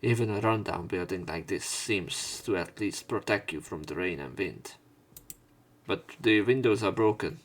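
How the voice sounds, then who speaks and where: Low-voiced man doing a visual novel let's play. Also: normal speed. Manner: informal, swearing in reactions. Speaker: let's player. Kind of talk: reading visual novel narration aloud with casual reaction commentary.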